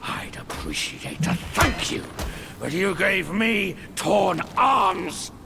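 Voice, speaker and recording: A gravelly, howling demon boss. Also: male voice, high voice, big pitch swings, slow, background noise, exaggerated voice. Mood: vengeful. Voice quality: gravelly, shouting. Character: savage male demon boss, boastful and vengeful. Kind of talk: video game boss cutscene acting. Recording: game audio over loud ambience.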